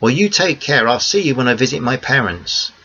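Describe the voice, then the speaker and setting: A man's voice: mid-range voice, expressive, fairly quick, bright, forceful tone, breathy edge. A man reading the part of a determined young woman, speaking firmly and earnestly to friends and family. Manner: firm, earnest pleading. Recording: dull lo-fi recording.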